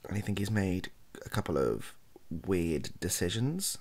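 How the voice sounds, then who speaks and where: A British man in his thirties speaking softly in an improvised, rambling monologue, halting often and correcting himself as he goes. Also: sits low, sing-song. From United Kingdom. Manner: hesitant, halting, self-correcting. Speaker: ASMR video creator. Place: small indoor home room.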